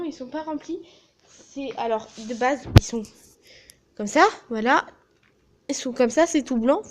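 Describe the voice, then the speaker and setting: Teen girl vlogger, high voice, brash and foul-mouthed, phone-recorded in a small office with light hiss. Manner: crude, joking, swears a lot. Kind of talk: casual vlog.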